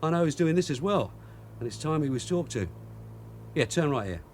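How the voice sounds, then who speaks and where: An actor playing a streetwise, bossy London detective sergeant in a radio drama, with a London accent and brisk, colloquial, teasing talk. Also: male voice, a little high, animated, a little mellow, slight background noise, lightly acted. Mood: brisk, dry.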